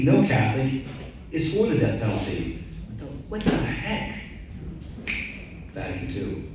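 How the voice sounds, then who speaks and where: A man's voice: mid-range voice, darkish tone, a little hiss or hum. A middle-aged priest answers audience questions at a talk. He is emphatic, asks rhetorical questions and often restarts. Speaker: priest and guest speaker. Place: large echoey church hall. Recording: very echoey hall, voice from far off.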